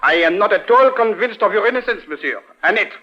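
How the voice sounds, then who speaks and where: A man's voice: sits high, lively intonation, quick pace. A man playing a formal, suspicious prefect in an old-time radio drama, courtly and interrogating. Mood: accusing. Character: formal, suspicious French prefect, male official. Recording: muffled old radio recording.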